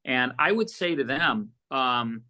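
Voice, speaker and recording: A man with a bright, forceful voice at an ordinary male pitch, talking as a guest in a casual interview. He tells rambling, animated stories, restarting sentences and quoting conversations as he goes. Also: slow. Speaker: interview guest, audio drama producer.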